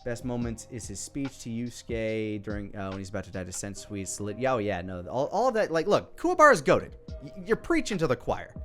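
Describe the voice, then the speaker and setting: A man's voice: medium pitch, very animated, brisk, hardly any pauses. Rambling American guy over background music. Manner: rambling, self-interrupting. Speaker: video creator. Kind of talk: casual off-the-cuff commentary.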